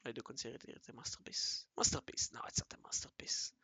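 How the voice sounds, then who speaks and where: A man with a raspy voice talking casually to himself while he draws, mumbling and correcting himself as he goes. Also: fast talker, pushed and bright, no room sound, in a whisper. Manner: mumbling and self-correcting, often murmuring half to himself. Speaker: hobby artist narrating his drawing session. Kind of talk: casual think-aloud commentary while drawing.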